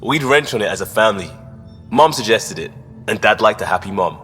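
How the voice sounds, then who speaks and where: Earnest low-voiced space responder. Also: male voice, animated, fairly quick, a bit bright. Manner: casual, earnest, wry. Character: plainspoken, earnest male space crisis responder. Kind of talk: video game character dialogue. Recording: hall reverb, faint music behind.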